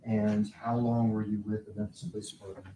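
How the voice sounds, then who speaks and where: A man questioning a witness in a courtroom, slow and deliberate. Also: fairly low pitch, level tone, mellow, slight background noise. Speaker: prosecutor. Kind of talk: courtroom direct examination. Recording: roomy courtroom audio from far off.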